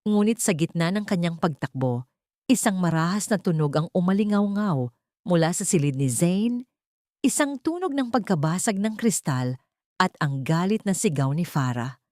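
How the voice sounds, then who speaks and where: A woman's voice: lowish voice, lively intonation, normal speed, a little mellow, no echo, clean studio sound. A woman with a Filipino accent reading a dramatic scripted story as an audiobook narrator.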